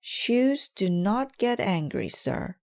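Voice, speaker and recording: A courteous female pterodactyl officer from a storybook, performed by a woman reader. She is helpful and matter-of-fact, with a raised pitch and unhurried pace. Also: sing-song, a little mellow. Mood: patient, reasoning.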